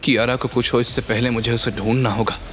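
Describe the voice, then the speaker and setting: A man's voice: mid-range voice, little pitch movement, brisk, a bit bright, faint music behind, lightly acted. A man playing an anxious, determined young hero in an audio drama. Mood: alarmed.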